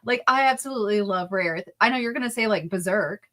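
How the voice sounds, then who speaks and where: A woman with a Kentucky accent, co-hosting a casual live-stream chat in a relaxed, easygoing way. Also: medium pitch, fast. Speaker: co-host.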